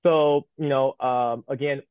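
A man's voice: mid-range voice, steady tone, takes it slowly, smooth, no room sound. A man in his thirties from Taiwan, a native Mandarin speaker, chatting casually as an interview guest and rambling with many fillers and restarts. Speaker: guest, Paralympic sled hockey goaltender. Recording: tinny phone-like line.

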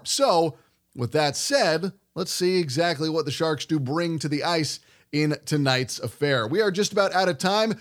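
A male sports host with a somewhat high-pitched voice. He speaks fluently in a broadcast style, moving through conversational commentary and opinion. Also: a bit bright.

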